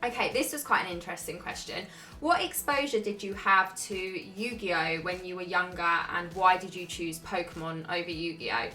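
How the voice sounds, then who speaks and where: Young woman vlogger, low-pitched and bright, relaxed chatty Q&A, faint music underneath. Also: normal speed. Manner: chatty, frequent "um" and "like", laid-back. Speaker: YouTuber answering viewer questions. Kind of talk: casual Q&A chat.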